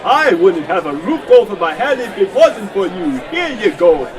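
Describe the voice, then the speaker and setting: A boisterous puppet townsperson in a comic radio play. The voice is high and lively, with a clearly audible audience behind it. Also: male voice, moderate pace, a crowd in the background. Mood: jolly. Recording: live stage with audience noise.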